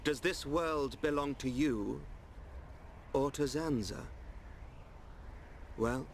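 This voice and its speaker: A man with a British accent playing a serene, enigmatic young seer in a video game, formal and measured. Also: medium pitch, slight background noise. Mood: serene, cryptic. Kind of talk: video game cutscene acting. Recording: loud music under the dialogue.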